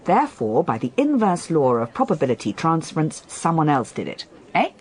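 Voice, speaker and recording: Keen, lively sleuth. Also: female voice, sits low, a little fast. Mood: eager, reasoning. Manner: eager, challenging, argumentative. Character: keen, persistent woman sleuth, impatient and quick-witted. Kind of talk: British radio detective drama acting.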